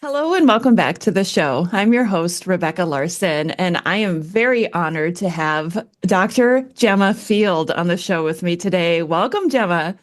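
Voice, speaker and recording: A woman's voice: on the low side, expressive, moderate pace, hardly any pauses. A woman hosting a history podcast, chatting enthusiastically with her guest and laughing often. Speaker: podcast host. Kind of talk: casual interview conversation.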